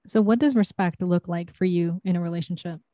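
A woman's voice: fairly low pitch, brisk, warm tone, no room sound. A woman interviewing a guest, asking probing follow-up questions, often several in a row.

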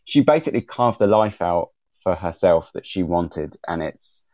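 A man with a very low voice speaks casually on a panel. He is enthusiastic and halting, and the recording is dull. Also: lively intonation, a little mellow, no room sound. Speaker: podcast host and panel guest. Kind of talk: casual live panel talk.

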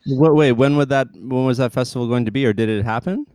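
A man hosts a casual podcast interview, talking in a relaxed, rambling way and often restarting his sentences. His voice is smooth and clear, and the recording sounds close and dry. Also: medium pitch, fast, no echo. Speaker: podcast host.

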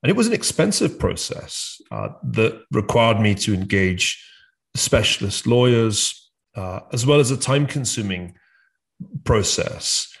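A British man hosting a discussion, speaking in a measured way with frequent hesitations and restarts. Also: on the low side, very animated, creaky. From United Kingdom. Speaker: podcast host. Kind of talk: conversational discussion.

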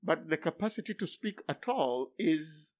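An older man, a philosophy professor whose first language is Luo, speaking haltingly in an interview, then pressing with emphatic rhetorical questions. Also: fairly high pitch, expressive. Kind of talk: academic interview. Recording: dull lo-fi recording.

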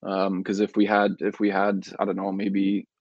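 A man's voice: sits low, completely flat delivery, normal speed, no room sound. A young man who grew up in Belgium, a soccer player answering interview questions casually with many restarts and fillers. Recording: dull lo-fi remote audio.

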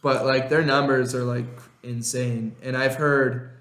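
A young man co-hosting a podcast in casual chat, rambling and excitable, laughing often. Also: medium pitch, unhurried. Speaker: podcast co-host. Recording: roomy echo.

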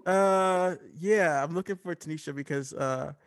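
A man puts on a giggly, taunting caller for an improv comedy sketch. The voice sits high and rambles hesitantly. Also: measured pace. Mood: teasing.

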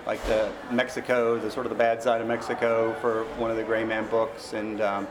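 A man's voice: on the low side, monotone, normal speed. An American man being interviewed as a novelist, explaining his work casually in an informal, rambling way. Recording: clearly noisy background.

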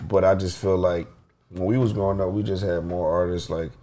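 A man's voice: deep voice, fairly even intonation, brisk, warm tone. A man, a guest speaking earnestly and building his points with rising emphasis. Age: 30s. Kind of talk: casual chat.

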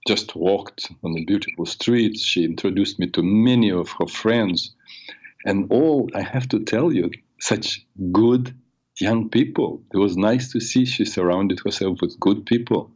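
An older man hosting a radio talk show, raspy from a cold, telling personal stories in a rambling way with many fillers. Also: low voice, darkish tone, lo-fi sound. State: has a cold. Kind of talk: solo talk show monologue.

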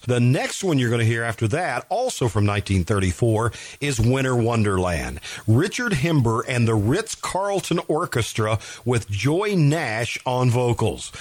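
A man's voice: medium pitch, no echo. A man hosting a radio show, chatting casually in a folksy, conversational way as he introduces music. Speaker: radio host.

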